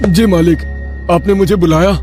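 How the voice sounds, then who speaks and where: A man's voice: high-pitched, steady tone, moderate pace, a little mellow, faint music behind, close to an everyday voice. A man playing the ghost of a burned farmer who poses as a humble servant, telling his tale in a horror cartoon. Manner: deferential, sorrowful storytelling. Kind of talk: dramatised horror cartoon narration.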